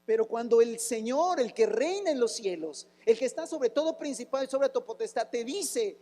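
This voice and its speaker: A raspy-voiced man preaching with fervor, his voice sitting unusually high for a man. He pushes through rhetorical questions and bursts out in sudden exclamations. The whole sermon rings with a long reverb from the church hall. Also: animated, moderate pace, dark tone. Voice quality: raspy, rough voice. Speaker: pastor.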